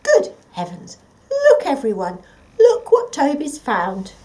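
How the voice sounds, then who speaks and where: Woman voicing an excitable, kindly old grandpa. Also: high voice, slow, pitched above the performer's own voice, exaggerated voice. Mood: surprised delight. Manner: exclaiming, emphatic. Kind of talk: children's storybook reading.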